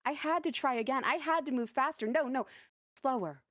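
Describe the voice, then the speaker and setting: A woman's voice: high voice, fairly quick, fairly bright tone, no room sound, clean studio sound, voice pushed up. Woman as a lonely, anxious dancer, earnest and emotive. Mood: anxious, striving. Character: lonely, anxious, self-doubting dancer lost in a forest. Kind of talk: first-person dramatic story reading.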